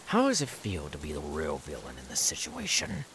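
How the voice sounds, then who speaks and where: A man playing an unhinged, menacing, mocking serial killer in a theatrical ASMR horror audio roleplay, volatile between hushed and manic. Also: extremely low pitch, huge pitch swings, a little fast, slight background noise, exaggerated voice, low-quality recording.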